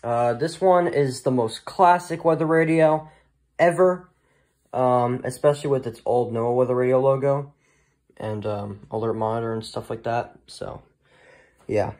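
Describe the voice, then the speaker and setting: Man, hobbyist presenter, mid-pitched, off-the-cuff and halting, recorded in a room at home. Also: darkish tone. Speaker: hobbyist presenter of a weather radio collection. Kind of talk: casual unscripted show-and-tell narration.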